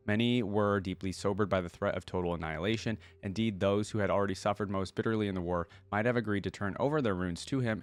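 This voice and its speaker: A man's voice: low voice, no echo. A man hosting a reaction video, chatting informally and reading lore aloud with commentary.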